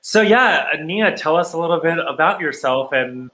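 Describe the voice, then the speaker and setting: A man hosting a casual chat show, asking slow, hesitant questions. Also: a little high, expressive, bright, forceful tone. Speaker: host.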